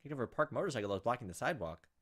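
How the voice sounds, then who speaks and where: Fast, animated man narrating a game. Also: mid-range voice. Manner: animated, wry joking asides. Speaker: gameplay host reading a visual novel aloud. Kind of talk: let's play narration with casual commentary.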